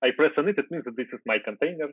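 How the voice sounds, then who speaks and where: A man's voice: fairly high pitch, very fast, no room sound. Ukrainian man on a tinny video call. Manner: relaxed, thinking aloud with fillers and restarts. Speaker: guest software developer. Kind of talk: casual screen-share coding chat.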